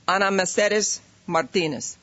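A woman, an immigration official, reading out a long list of names at a ceremony in a steady, measured way. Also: medium pitch. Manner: steady, measured roll call. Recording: dull lo-fi recording.

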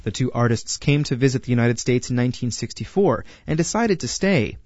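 A man narrates a scripted feature with lively, varied pitch. The sound is dry and close, though a little dull and low in fidelity. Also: a little fast, no room sound. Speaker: narrator, reporter.

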